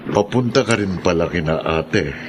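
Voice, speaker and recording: An actor playing a gentle, concerned brother, speaking earnestly in a Filipino radio drama. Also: male voice, low voice, expressive, moderate pace, background noise. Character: concerned, gentle younger brother of an elderly woman.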